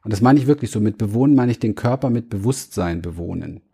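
Man, interview guest, earnest, reflective, mellow tone. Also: lowish voice, expressive, fast, gravelly, slightly rough. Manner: earnest, searching, restarts phrases.

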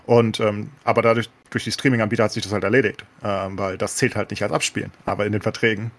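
A man's voice: fairly low pitch, little pitch movement, quick pace. A man in a casual podcast discussion, talking colloquially and opinionatedly with English words mixed in. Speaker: podcast co-host.

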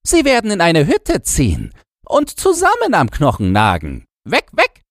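A man voicing a boastful, nostalgic old chained dog in a shrill, yapping falsetto for a storybook. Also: wildly swooping pitch, measured pace, dry recording, clean studio sound, full character voice. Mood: knowing, gruffly boastful. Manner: knowing, exclamatory, barking.

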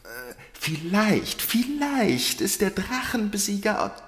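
A man's voice: high voice, very animated, slow pace, slight background noise, full character voice. A man playing a timid, deferential royal wedding minister who speaks hesitantly in a fairy-tale audio drama. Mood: cautious. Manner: hesitant, obsequious, stammering. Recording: echoey hall with long reverb.

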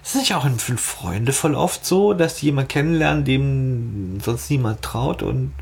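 A man's voice: mid-range voice, animated, normal speed, creaky, a little mellow, breathy edge. A man co-hosting a podcast, talking through an audio drama casually and argumentatively, often questioning and checking back with his partners. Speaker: podcast co-host.

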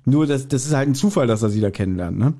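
A man's voice: medium pitch, animated, fast, warm tone. A man chatting casually as a podcast co-host, explaining his views in colloquial language. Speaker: podcast co-host reviewing audio dramas.

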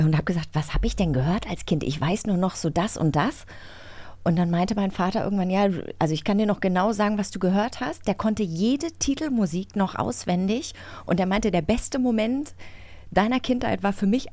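A woman's voice: mid-range voice, darkish tone, no echo. A woman co-hosting a podcast in a casual, playful style. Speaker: podcast co-host.

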